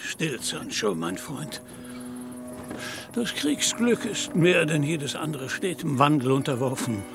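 Pompous knight-errant for radio drama, high-ish creaky voice, valiant and deluded, over music. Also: male voice, animated, takes it slowly, exaggerated voice. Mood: solemn, wounded pride. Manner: pompous, declamatory. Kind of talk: literary radio play acting.

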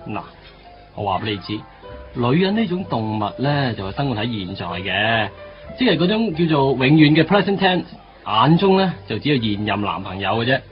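A man's voice: medium pitch, big pitch swings, moderate pace, close to an everyday voice. Actor as glib hk buddy, late 20s guy, cantonese radio drama, chatty know-it-all, kinda muffled w faint music. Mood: opinionated. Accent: Hong Kong Cantonese. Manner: opinionated, chatty lecturing with emphatic asides. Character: glib married buddy, late twenties, philosophizing about women. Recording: dull lo-fi radio recording.

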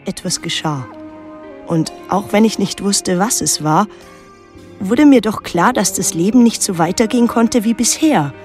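An actress playing a wary, withdrawn teenage daughter who narrates her island story in a measured, introspective radio drama style. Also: mid-range voice, lively intonation, a little mellow, faint music behind, natural voice. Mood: reflective.

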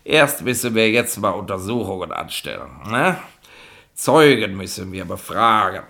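An actor playing a gruff, officious police inspector in a 1960s radio play, pedantic and sarcastic in colloquial bureaucratic bluster. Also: male voice, lowish voice, animated, normal speed, pushed and bright, full character voice. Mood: suspicious, deliberate.

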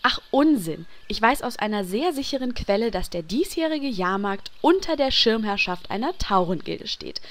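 Woman playing chirpy, lively, bossy troupe leader. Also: a little high, moderate pace, hardly any pauses, lightly acted. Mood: reassuring. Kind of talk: parody audio drama acting.

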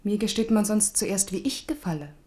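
A woman's voice: medium pitch, normal speed, a little mellow, natural voice. A woman plays a proud young noblewoman in a radio drama. Her voice is of average height for a woman, and her delivery is cool, dry and haughty, as if nothing impresses her. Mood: coolly coy. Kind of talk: German radio drama acting.

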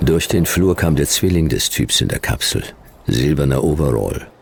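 Extremely low and creaky, a sardonic, weary private eye in a sci-fi radio drama. He is terse and deadpan, with noise behind him. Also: moderate pace, heavily acted. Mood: deadpan, tense. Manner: terse, clipped, deadpan, hard-boiled. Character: world-weary, sardonic male private detective narrating his case. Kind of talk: hard-boiled radio play narration and dialogue.

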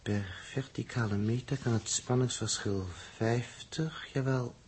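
A man's voice: mid-range voice, steady tone, a little slow, a little mellow, natural voice. An actor playing an irritable, sarcastic space crewman with an inventive streak, grumbling and thinking aloud in a 1960s Dutch radio drama. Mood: musing, eager. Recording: noisy 1960s radio recording.

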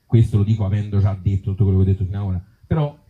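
A man with a Tuscan accent talking informally at a live event as an actor and author, in halting, circling phrases with blunt joking asides. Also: fairly low pitch, fairly even intonation, narrow, tinny audio. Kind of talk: informal live talk with the audience. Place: outdoor evening event in an olive grove.

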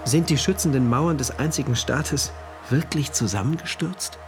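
A man's voice: a little high, normal speed, lightly acted. A man acting an anxious, introspective narrator in a German dystopian radio drama, telling it in brooding short phrases. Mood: awed, bewildered. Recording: loud music behind the voice.

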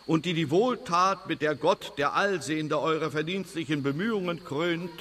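An actor playing a solemn sheikh who declaims florid blessings in a ceremonial way in a radio drama. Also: male voice, a little high, normal speed, over music, natural voice. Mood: solemn, incantatory. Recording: slightly distant, noisy ambience behind.

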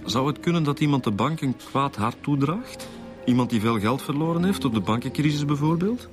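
A man's voice: mid-range voice, lively intonation, brisk, lightly acted. A man with a Flemish accent acting a blunt, impatient, stubborn police detective in a naturalistic radio drama. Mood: probing. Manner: blunt, colloquial, insistent. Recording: music behind the dialogue.

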